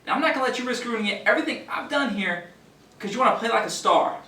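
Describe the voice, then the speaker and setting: An actor playing a bullying, sneering show-business veteran in a stage play. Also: male voice, very high voice, lively intonation, fast talker, bright, forceful tone, full character voice. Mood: scolding, sneering. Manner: confrontational, sneering. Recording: distant, echoey stage recording, faint noise.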